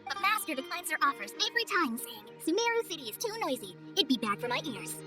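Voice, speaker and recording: A woman playing a kindly young forest ranger trainee in a video game, gentle and earnest, with a hesitant, apologetic manner. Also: very high-pitched, sing-song, quick pace. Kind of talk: video game cutscene voice acting. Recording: music behind the voice.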